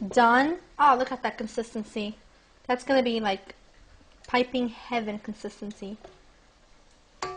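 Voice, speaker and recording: Young woman on a lapel mic doing a cooking demo at home, high bright voice, chatty, faint hiss behind. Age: young adult. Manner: bubbly, chatty, addresses viewers directly. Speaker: cooking show host. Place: home kitchen, indoors.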